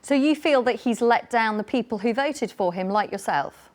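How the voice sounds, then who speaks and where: British lady hosting a radio call-in, brisk, a bit high voice. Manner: brisk, inviting questions. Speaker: radio host.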